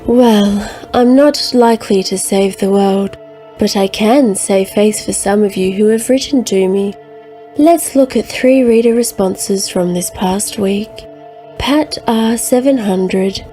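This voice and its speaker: A woman playing an unflappable New Age advice columnist, reading letters in a deadpan comic way. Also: mid-range voice, slow pace, faint music behind, lightly acted. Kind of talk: reading listener letters, satirical.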